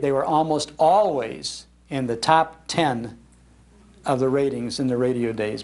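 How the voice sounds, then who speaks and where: An older man giving an informal, conversational talk to an audience, explaining as he goes. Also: medium pitch. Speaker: lecturer on old radio, host. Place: public library talk.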